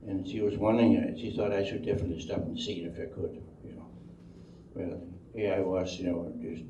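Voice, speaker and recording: A distant, echoey recording of an older man with a low, mellow voice and a Danish accent. He recalls his past in a halting, rambling way, often doubling back on words. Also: fairly even intonation. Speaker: speaker telling life story. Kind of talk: unscripted oral history storytelling. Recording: dull, roomy, distant microphone, faint noise.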